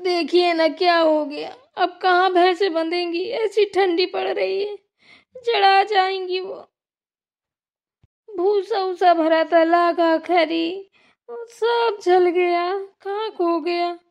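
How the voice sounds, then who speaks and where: A woman's voice: very high voice, fairly even intonation, takes it slowly, creaky, full character voice. A woman playing a wailing old village granny. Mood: lamenting. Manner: wailing, pleading. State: crying. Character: tearful old village grandmother. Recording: dull lo-fi sound.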